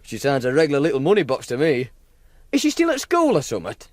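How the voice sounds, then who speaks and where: A man's voice: a little high, wildly swooping pitch, quick pace. A man acting in a radio drama as a cocky, teasing racing punter with a Yorkshire accent, speaking colloquially like a storyteller. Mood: mocking, teasing. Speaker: actor.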